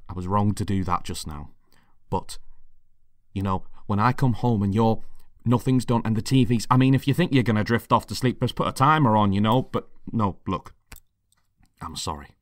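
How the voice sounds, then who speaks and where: A man's voice: on the low side, dry recording, studio quality, lightly acted. A man playing a volatile, possessive boyfriend who pleads and menaces in a dramatic script reading. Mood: exasperated, apologetic.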